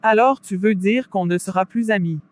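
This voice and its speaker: A performer playing a worldly but soft-hearted young woman who loves parties, in a scripted animated drama. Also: mid-range voice, normal speed, close to an everyday voice. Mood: troubled. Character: worldly young female party-goer, wavering, soft-hearted.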